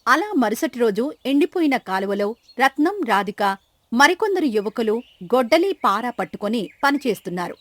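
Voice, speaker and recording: A woman's voice: fairly high pitch, big pitch swings, moderate pace, no room sound. A woman reading scripted story narration as the storyteller.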